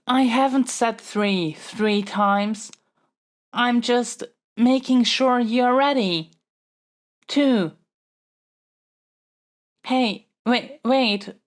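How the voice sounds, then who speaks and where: A woman playing a pampered young royal heir in a scripted audio roleplay, earnest and formal, with a wordy, haughty yet flustered manner. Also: on the high side. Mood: indignant stalling.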